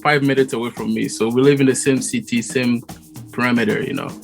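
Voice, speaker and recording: A man's voice: medium pitch, fairly even intonation, a little fast, gravelly, faint music behind. Friendly, slangy male musician. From Togo. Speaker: musician guest. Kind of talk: casual interview.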